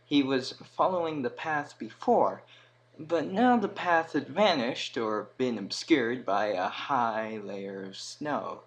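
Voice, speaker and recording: Male narrator, slow delivery, dramatic fantasy storytelling, mid-pitched, some background noise. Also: lively intonation, rough recording. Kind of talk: scripted story narration, fantasy audio tale.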